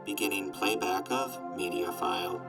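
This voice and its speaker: A man voicing a glitchy robot assistant that makes flat, formal machine announcements. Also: low voice, normal speed, over music, natural voice. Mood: flat, procedural. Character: glitchy deadpan robot assistant, helpful but unsettling.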